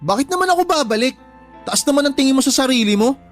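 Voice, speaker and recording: A man's voice: extremely high pitch, expressive, fast, fairly bright tone, soft background music. A man playing a drunk, defiant young son of the household in a radio drama, sneering, insulting and blaming others. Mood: sneering, irritated. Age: young adult. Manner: sneering, insulting, blame-shifting. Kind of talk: Tagalog radio drama acting.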